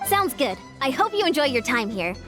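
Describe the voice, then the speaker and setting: A woman's voice: high voice, big pitch swings, a little fast, over music. A woman voicing a confident, encouraging champion fitness coach who speaks instructively in a video game. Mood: friendly. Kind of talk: video game character acting.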